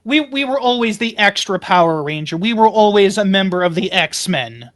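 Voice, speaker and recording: Woman, middle-pitched voice, tabletop player, casual, halting, emphatic repetition. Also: animated. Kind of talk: casual chat during a tabletop game.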